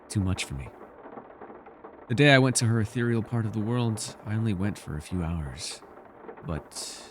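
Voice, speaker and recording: A man acting as an ancient elf with a low voice, in an intimate fantasy ASMR roleplay. He is wistful and measured, with a gently teasing streak. There is noticeable noise behind the voice. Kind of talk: ASMR character roleplay, intimate scripted monologue.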